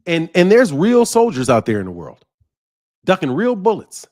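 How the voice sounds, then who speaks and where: A man's voice: high voice, huge pitch swings, moderate pace, darkish tone. A man in his mid-fifties hosting a boxing channel, talking bluntly and heatedly to his viewers and laughing often. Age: 50s. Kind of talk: live commentary to viewers.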